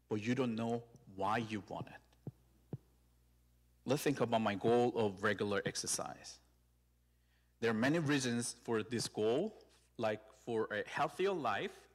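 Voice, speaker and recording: Korean-accented pastor preaching warmly. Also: male voice, mid-range voice. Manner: friendly and conversational, with call-and-response. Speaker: site pastor. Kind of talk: sermon. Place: church sanctuary.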